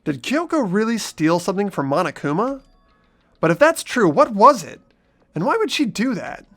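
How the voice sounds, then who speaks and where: Man as an expressive, earnest teenage boy. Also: high voice, moderate pace, heavily acted. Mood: worried. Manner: questioning, earnest. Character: earnest, anxious, self-doubting teenage boy narrating. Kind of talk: fan-voiced game narration and dialogue.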